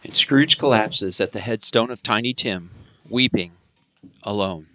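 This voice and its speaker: Bright, forceful male radio play narrator. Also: lowish voice, a little slow.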